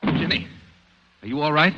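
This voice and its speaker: An actor playing a concerned, protective sweetheart, reassuring and matter-of-fact, in a 1940s radio drama. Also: male voice, a little high, lively intonation, moderate pace, very noisy, lightly acted. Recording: muffled old radio broadcast recording.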